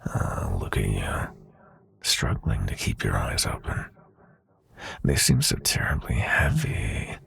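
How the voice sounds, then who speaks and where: Sinister, very deep gravelly nightmare prince. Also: male voice, wildly swooping pitch, fairly bright tone, dry recording, exaggerated voice. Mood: hypnotic, coaxing. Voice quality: breathy, gravelly half-whisper. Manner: slow, silky, commanding, taunting. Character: sinister seductive nightmare prince, predatory, selfish, menacing. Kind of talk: intimate ASMR roleplay acting.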